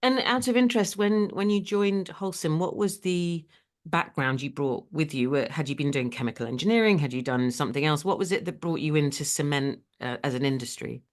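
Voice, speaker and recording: A woman hosting a podcast, asking questions in a chatty, animated way. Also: low voice, fairly quick. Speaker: podcast host. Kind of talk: podcast interview.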